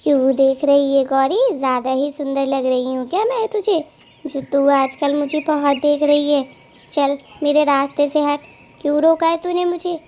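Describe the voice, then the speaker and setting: Woman voicing a high-pitched, scolding cartoon bird lady. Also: fairly even intonation, normal speed, a little mellow, close to an everyday voice. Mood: combative scolding. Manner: scolding, emphatic, taunting. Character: quarrelsome, hot-tempered female cartoon birds trading insults. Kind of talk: animated cartoon dialogue.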